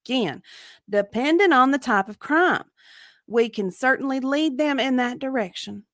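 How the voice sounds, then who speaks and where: Woman playing a guarded, higher-pitched deposition witness. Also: animated, moderate pace, a bit bright, no room sound, studio quality. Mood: matter-of-fact, hedging. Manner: clipped, guarded, hedging answers. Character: evasive, matter-of-fact female victim advocate testifying as witness. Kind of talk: comedic dramatic reading of a legal deposition.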